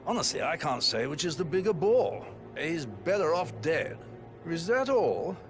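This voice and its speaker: A sneering aristocrat. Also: male voice, a little high, big pitch swings, a little slow. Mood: scornful. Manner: mocking, drawling, sarcastic. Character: sneering middle-aged British aristocrat brother. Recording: game audio with music behind.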